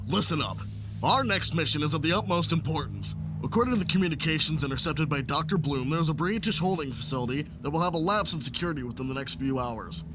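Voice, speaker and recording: A man's voice: on the high side, lively intonation, fast, close to an everyday voice. A man playing a stern, irritable military captain in an audio drama. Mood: brisk, grave. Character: stern, irritable male military captain briefing his troops. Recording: clearly noisy background with engine-like ambience.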